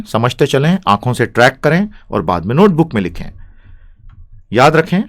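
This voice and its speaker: A man with an Indian accent teaching an English lesson, quick and chatty when he explains, slow and deliberate when he reads the English passage aloud. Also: medium pitch. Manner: fast animated Hindi explanation, slow careful English reading. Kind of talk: language lesson, reading aloud.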